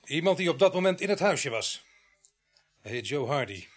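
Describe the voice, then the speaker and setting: Dogged police sergeant, lively pitch. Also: male voice, moderate pace. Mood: pressing. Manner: polite but insistent, dryly probing. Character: calm, dogged male police sergeant questioning a suspect. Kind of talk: Dutch radio play acting.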